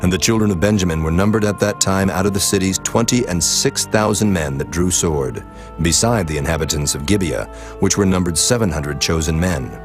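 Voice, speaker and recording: A man reading scripted narration for a dramatized audio Bible. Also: deep voice. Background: background music.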